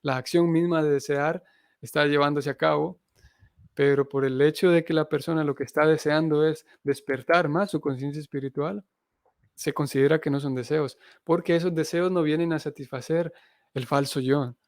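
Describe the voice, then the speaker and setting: A man's voice: fairly high pitch, fairly even intonation, normal speed, no room sound, studio quality. A man giving a spiritual lecture, reading scripture and explaining it in a reflective way. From Honduras. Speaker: Hare Krishna missionary teacher.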